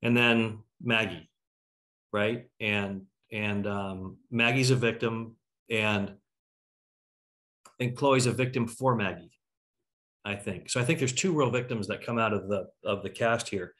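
A man's voice: on the low side, fairly even intonation, unhurried, a bit bright. A middle-aged man hosting a casual panel on a video call, musing aloud as he works toward his questions. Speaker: talkback host. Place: indoor room on a video call. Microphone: video call computer microphone.

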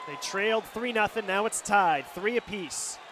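A high-voiced American man calls live baseball play-by-play for radio, describing each moment as it unfolds. A loud stadium crowd surrounds his voice, with clear background noise. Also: normal speed. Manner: descriptive, calling action as it happens. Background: ballpark crowd and outdoor stadium ambience.

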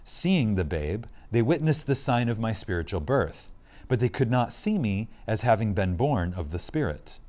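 A man giving a reverent, scripted reading of a spiritual lecture, solemn and emphatic, with deliberate pauses. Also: on the low side, big pitch swings, a little mellow.

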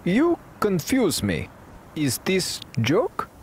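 A baffled male soldier from a video game, with a high, hugely swinging pitch. He speaks in stilted, literal phrases without humour. Faint noise sits behind. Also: wildly swooping pitch, extremely slow, full character voice. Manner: stilted, literal, clipped broken English.

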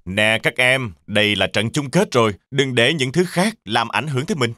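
A man's voice: medium pitch, animated, moderate pace, pushed and bright, no echo, studio quality. A man voicing an encouraging zebra sports coach in a cartoon, instructive and emphatic. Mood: urging.

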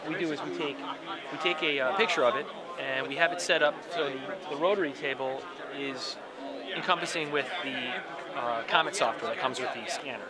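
A man's voice: a little high, fairly quick. A man exhibitor explaining a scanning process step by step in technical terms. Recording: loud crowd noise behind.

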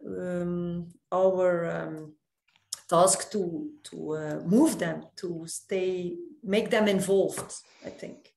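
Woman in halting English, roomy sound. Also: lowish voice, steady tone, gravelly, a little mellow. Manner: halting, non-native English phrasing. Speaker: podcast guest, nurse. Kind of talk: panel discussion. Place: indoors, on a video call. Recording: roomy video call.

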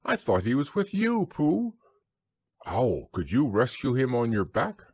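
A man voicing an eager, kind, excitable young boy in a storybook, with an earnest manner. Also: mid-range voice, a little slow, breathy edge, no echo, voice pushed up, full character voice. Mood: urgent.

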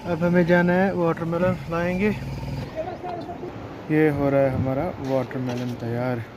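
A man's voice: on the high side, flat delivery, measured pace, dull audio. A man, chatting casually as a travel vlogger and narrating what goes on around him. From Kashmir, India. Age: 20s. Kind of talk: casual travel vlog chat. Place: outdoors at riverside campsites, in a car. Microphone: phone at arm's length. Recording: very noisy outdoor phone recording. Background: loud outdoor ambience.

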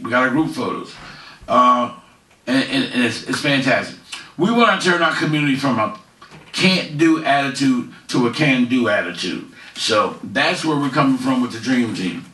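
A middle-aged man hosts his own community commentary show from a living-room couch, talking in a chatty, folksy way. His voice is bright and forceful. It sounds a little distant in a roomy space. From Philadelphia area, USA. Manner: chatty, folksy, pitching local events. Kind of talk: casual commentary to camera. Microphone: headset mic, far camera.

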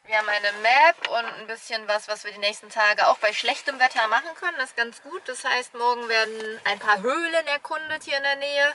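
A woman's voice: fairly high pitch, a little fast, few pauses, pushed and bright. A woman, chatting casually as a travel vlogger into a handheld camera. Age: 20s. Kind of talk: casual vlog chat. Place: inside a camper van, on a windy beach. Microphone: handheld camera at arm's length. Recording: noisy camera audio with vehicle and ambience.

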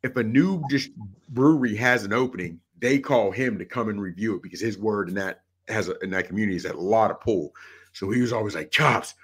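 A man's voice: fairly low pitch, a little fast, husky. A middle-aged man in casual, informal podcast chat, often repeating phrases for emphasis on a video call. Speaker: sports podcast panelist. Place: indoor room on a video call.

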